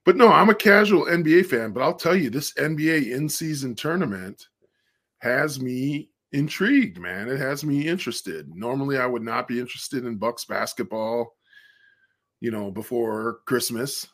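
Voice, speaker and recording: A man with a deep voice, joining a casual sports podcast over a video call, easygoing and relaxed. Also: normal speed. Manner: laid-back, easygoing, says "man" and "dude". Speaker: guest co-host.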